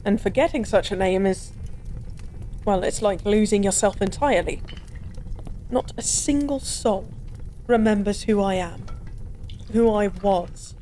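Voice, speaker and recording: A woman's voice: mid-range voice, a little slow, long pauses, warm tone, close to an everyday voice. A woman playing a drunk, melancholy stranger who was once a god, confiding in a wistful, rambling, hesitant way in an intimate audio roleplay. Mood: wistful, sorrowful. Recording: clear background ambience noise. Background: bar ambience.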